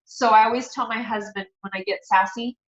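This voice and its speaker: A woman's voice: on the high side, steady tone, a little fast. A middle-aged woman speaking as an interview guest, sharing personal stories in an earnest, devout way. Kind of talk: casual personal interview, storytelling. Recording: dull, roomy sound.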